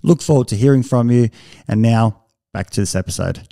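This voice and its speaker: Gravelly-voiced man hosting a podcast. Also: mid-range voice, a little fast, dark tone, no room sound, studio quality. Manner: hesitant, many restarts and fillers. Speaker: podcast host. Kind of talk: casual interview.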